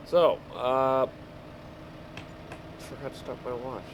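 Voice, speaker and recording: A man rambles through a casual story as he plays a game, in an unhurried, mid-pitched voice. He stops and starts and loses his thread easily. A vehicle engine can be heard running behind him. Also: fairly even intonation, rough recording. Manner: halting, easily distracted. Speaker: gamer telling stories over gameplay. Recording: clear background vehicle engine noise. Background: game vehicle engine sounds.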